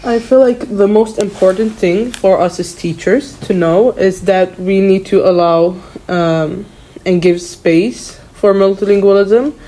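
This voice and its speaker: A woman's voice: mid-range voice, fairly even intonation, a little slow, warm tone, rough recording. A woman teacher, a native Arabic speaker, speaking thoughtfully in English with hesitant fillers. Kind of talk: casual discussion.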